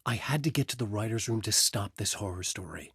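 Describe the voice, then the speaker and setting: An actor playing a tormented thriller writer trapped in a horror story in a video game, with a grave, introspective, intense delivery and a husky voice. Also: male voice, fairly low pitch, animated, brisk, a bit bright, no room sound, studio quality, heavily acted. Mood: brooding, reflective. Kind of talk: brooding dramatic game dialogue and noir monologue.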